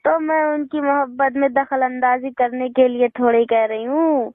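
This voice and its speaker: High, even-toned young woman on the phone. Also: moderate pace, no echo. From northern India. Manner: insistent, unflustered. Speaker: phone caller. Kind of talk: personal phone conversation. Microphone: telephone. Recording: tinny phone-line call recording.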